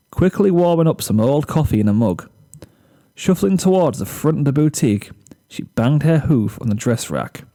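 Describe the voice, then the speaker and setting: A man's voice: medium pitch, warm tone. A man reading a comic story aloud as narrator, in scripted narration.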